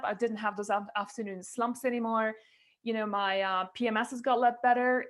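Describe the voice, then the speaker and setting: A middle-aged woman guest on a video call, telling her own story with animated gestures and frequent fillers and restarts. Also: fairly high pitch. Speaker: guest, nutrition coach. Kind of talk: candid interview storytelling. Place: indoors at a window with a snowy forest view. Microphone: video call, webcam.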